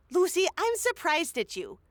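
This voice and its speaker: A woman's voice: extremely high pitch, wildly swooping pitch, measured pace, no room sound, clean studio sound, heavily acted. A woman playing a scheming, impatient city mayor in a comedic audio drama, brash and bossy.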